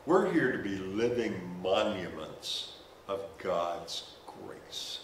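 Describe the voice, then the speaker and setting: Older pastor preaching in an echoey church. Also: male voice, low-pitched, sing-song, slow, slight background noise. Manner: conversational preaching with humorous asides; gestures while walking the stage. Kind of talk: sermon. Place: church stage, indoors. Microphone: headset mic. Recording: roomy church sound from a distance.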